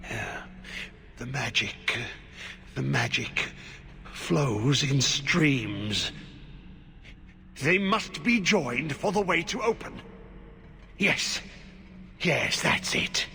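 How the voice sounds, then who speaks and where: Half-mad tainted wretch, fantasy game, swooping pitch, sharp gravelly edge, halting mutter, cavernous reverb. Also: male voice, very animated, full character voice. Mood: muttering, distracted. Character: unhinged, half-corrupted ghoul man, fearful and pleading. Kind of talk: dramatic fantasy game acting. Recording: long cavernous reverb, background noise.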